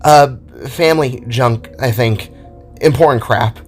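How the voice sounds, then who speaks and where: A man's voice: medium pitch, a little slow, close to an everyday voice. A man voicing a worried, hesitant pregnant young woman in an audio drama, sounding strained. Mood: evasive. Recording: music behind the voice.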